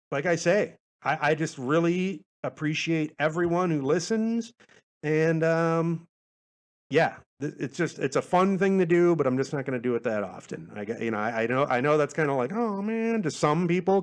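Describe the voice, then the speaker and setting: A man's voice: a little high, animated, normal speed. A man hosting a podcast, chatting casually in a laid-back, informal, rambling way. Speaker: podcast host. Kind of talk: casual rambling solo podcast sign-off chat.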